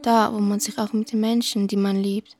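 A woman reads a reflective, scripted narration in a flat, even tone with little rise or fall. Music plays clearly behind her voice. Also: medium pitch, normal speed.

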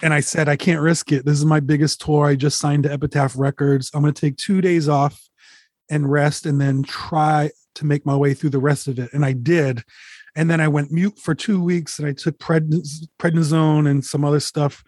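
A man in his forties, a touring musician, telling candid road stories in a relaxed, rambling way, swearing freely. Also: fairly high pitch, moderate pace, no echo, studio quality. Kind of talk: casual interview, candid storytelling.

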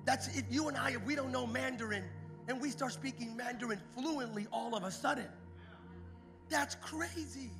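High-voiced man preaching over music. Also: normal speed. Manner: builds from quiet storytelling to shouted preaching. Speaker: pastor. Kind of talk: Pentecost sermon. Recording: music playing behind the preaching.

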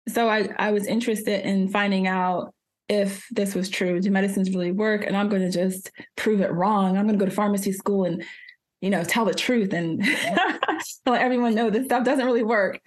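A woman's voice: medium pitch, fairly even intonation, normal speed. A woman from Jamaica who was raised in New Jersey, a pharmacist and podcast guest telling her story in a chatty way, with many fillers and frequent laughs. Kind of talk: casual interview storytelling.

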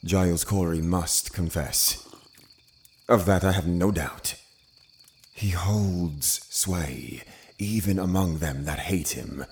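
An actor playing a stern, pious older Puritan uncle in a period audio drama, grave and formal. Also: male voice, sits low, slow pace, a little mellow. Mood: grave, resolute.

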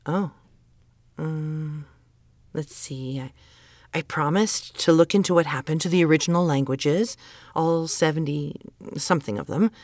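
A woman's voice: low voice, a little slow, darkish tone, dry recording. A woman playing a stern, exasperated middle-aged mother who holds to tradition, in a naturalistic audio drama, insistent and scolding. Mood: evasive, casual. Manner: insistent, guilt-laden pleading and scolding.

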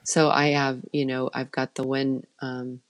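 Soft-voiced middle-aged woman, low. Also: flat delivery, unhurried. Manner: chatty, trailing off and restarting. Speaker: children's musician guest. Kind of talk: casual interview chat.

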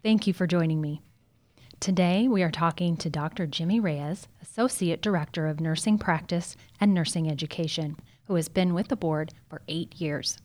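A woman's voice: fairly low pitch, normal speed, a little mellow. A woman hosting a podcast, reading a formal introduction and asking polite interview questions. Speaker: podcast host.

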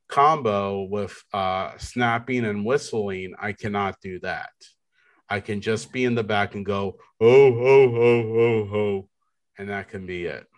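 Man, deep baritone voice, unhurried, rambling podcast chat, lots of ums. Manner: slow, rambling, many fillers and restarts. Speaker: podcast co-host. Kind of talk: casual chat.

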